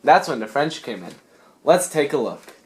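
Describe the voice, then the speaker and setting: A man's voice: mid-range voice, big pitch swings, normal speed, noisy background. A young man narrating a scripted student history puppet show, with wry, theatrical asides. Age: young adult.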